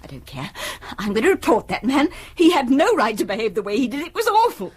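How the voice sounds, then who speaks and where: A woman's voice: on the high side, big pitch swings, quick pace, husky. A British actress playing an indignant, anxious wife and mother in a radio drama, distressed and emphatic. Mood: distraught. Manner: distressed, emphatic, formal when offended.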